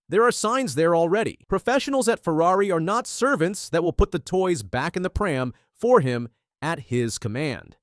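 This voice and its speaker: Lively higher-pitched male news narrator. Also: dry recording, clean studio sound. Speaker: narrator of motorsport news videos.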